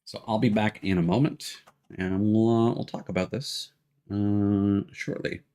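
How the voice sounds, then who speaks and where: A man casually commentating on a livestream, thinking aloud in a rambling way with hesitant pauses. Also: fairly low pitch, fairly even intonation, warm tone, smooth. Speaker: game livestreamer.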